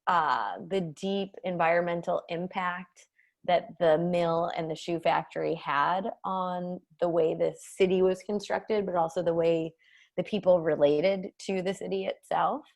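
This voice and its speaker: Lower-pitched woman with heavy vocal fry. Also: fairly even intonation, a little slow, darkish tone. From Massachusetts, United States. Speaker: author and guest speaker. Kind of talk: casual, reflective conversation. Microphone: video call.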